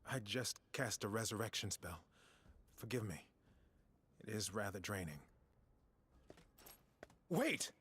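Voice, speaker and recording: A man playing a drained, courteous temple marshal and cleric in a fantasy video game, husky and exhausted. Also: lowish voice, animated, takes it slowly, pauses often, background noise. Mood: weary, courteous.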